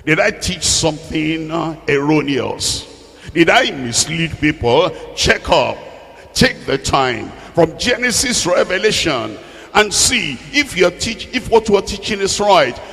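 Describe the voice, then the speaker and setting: High-pitched, slow male preacher in a reverberant hall. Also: very animated, creaky, a bit bright. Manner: exhorting, repeats phrases for emphasis. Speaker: preacher teaching from the Bible. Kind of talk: sermon.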